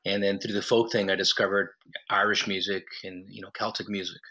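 A man from Mexico, a musician, talking over a phone line in an interview, relaxed and rambling with restarts. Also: low-pitched, brisk. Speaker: musician guest.

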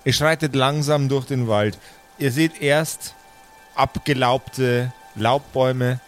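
A man's voice: medium pitch, fairly even intonation, slow pace. Male game master, average register, informal improvised banter and narration over light music. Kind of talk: casual roleplay banter and scene narration. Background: background music.

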